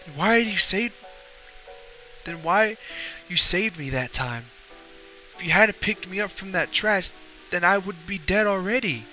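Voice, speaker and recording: A man voicing a clingy, excitable young girl in a high pleading voice, reading a story aloud. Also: measured pace, long pauses, heavily acted. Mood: upset. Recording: lo-fi with background music.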